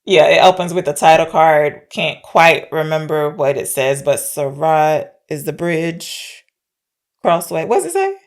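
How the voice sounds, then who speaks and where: A woman's voice: low voice, lively intonation, unhurried. A woman co-hosting a podcast, casually recapping and discussing a film in an informal, questioning way, often unsure of details. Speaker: podcast co-host discussing films.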